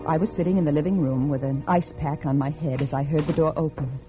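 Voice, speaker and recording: A woman playing a scheming, calculating unfaithful wife, acting in a melodramatic 1950s radio suspense style with dramatic pauses. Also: sits low, fairly even intonation, natural voice. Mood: cold, confiding. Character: scheming, calculating unfaithful wife plotting her husband's murder. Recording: dull vintage radio recording with music.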